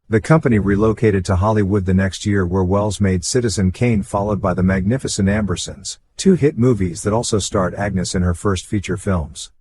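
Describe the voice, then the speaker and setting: A man with an American accent narrates local history from a script. His voice sits on the low side, and clear noise runs behind it. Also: darkish tone.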